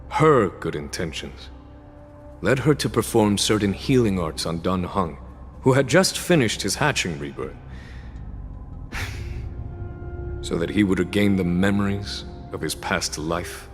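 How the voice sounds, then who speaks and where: An actor playing a composed, wise military general who explains matters in a measured, reflective way, in a game cutscene. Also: male voice, low-pitched, sing-song, heavily acted. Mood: pointed. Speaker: army general. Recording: reverb with background music.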